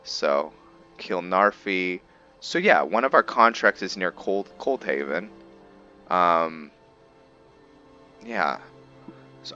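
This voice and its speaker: Brief: man doing let's-play commentary, deep bright voice, unhurried, muffled mic with faint game music. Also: clean tone. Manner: rambling, thinking aloud with many ums. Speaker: gaming video creator.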